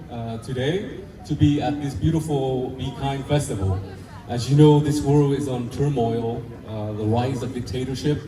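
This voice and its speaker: A man, talking earnestly and haltingly with restarts as a filmmaker interviewed at an outdoor festival. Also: mid-range voice. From Vietnam. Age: 50s. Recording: echoey, distant mic with crowd noise.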